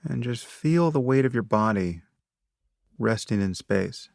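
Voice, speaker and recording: A man narrating a guided meditation in a measured, instructive manner, speaking in short phrases with long pauses between them. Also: medium pitch, expressive, creaky, darkish tone, no room sound, studio quality.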